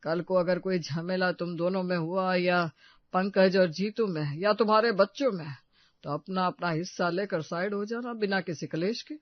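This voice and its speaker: A man's voice: sits high, normal speed, dry recording, lo-fi sound, close to an everyday voice. A man playing an elderly, caring and practical father-in-law in a family audio story, with a plain-spoken, advising manner. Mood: earnest. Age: older. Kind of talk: Hindi audio story acting.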